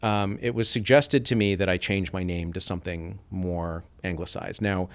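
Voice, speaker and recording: A man's voice: low-pitched, fairly even intonation, moderate pace, clear, resonant tone. A middle-aged man from Iran, a podcast guest, telling his story in a thoughtful, reflective way and acting out quoted voices. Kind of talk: reflective interview talk.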